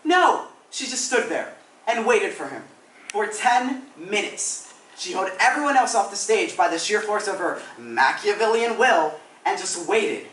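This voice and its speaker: A man's voice: high-pitched, sing-song, unhurried, fairly bright tone, pitched above the performer's own voice, exaggerated voice. A young man performing a panicky, melodramatic stage actor who pleads theatrically in a comic monologue. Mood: indignant, dramatic. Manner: theatrical, overwrought, exclamatory. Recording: roomy, distant mic, some noise.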